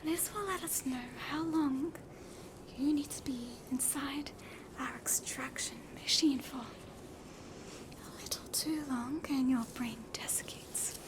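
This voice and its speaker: A woman with an Australian accent whispering a gentle, reassuring ASMR roleplay as a spooky hospital doctor. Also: high-pitched, takes it slowly, rough recording. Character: soothing, spooky hospital doctor treating hauntings. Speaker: ASMR performer playing a doctor.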